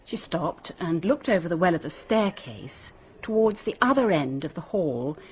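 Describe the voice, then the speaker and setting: A woman's voice: lowish voice, lively intonation, moderate pace, slightly rough, muffled recording. A woman playing a prim, guarded English schoolteacher in a radio drama, proper and defensive, with an English accent. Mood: recounting, uneasy.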